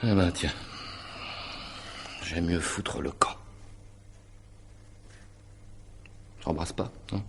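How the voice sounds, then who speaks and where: An actor playing a sardonic, flippant ex-husband with an ironic, mocking drawl, in 1950s French radio drama style. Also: male voice, low-pitched, unhurried, gravelly, a bit bright, full character voice. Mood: resigned.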